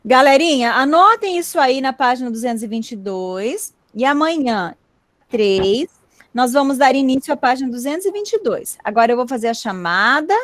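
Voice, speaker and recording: A woman teaching an online class from home, her voice set high and captured dry through a computer mic. She explains each rule patiently and keeps checking that her students follow. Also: lively intonation, normal speed, fairly bright tone, dry recording. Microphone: computer mic on a video call.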